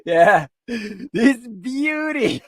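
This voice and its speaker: A woman co-hosting a casual livestream, telling rambling anecdotes in a halting, repetitive, teasing way. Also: a little high, lively intonation, dry recording. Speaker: livestream co-host.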